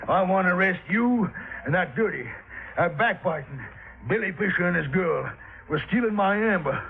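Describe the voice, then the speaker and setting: A man's voice: high voice, lively intonation, normal speed, gravelly. An actor playing a blustering, indignant, sputtering fat businessman with a Southern US accent in an old radio drama. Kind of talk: 1950s radio drama acting. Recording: tinny old radio recording.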